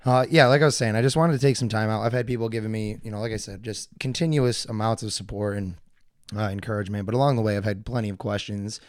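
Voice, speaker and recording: A hoarse man talks loosely through his own live podcast, looping back with fillers and false starts. Under the roughness his voice still comes through smooth and resonant. The sound is dry and close, with no room around it. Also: lowish voice, fairly even intonation, fast, no echo. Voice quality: gravelly, usually hoarse. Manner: rambling, with many fillers and restarts. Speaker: podcast host. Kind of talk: casual live solo podcast talk.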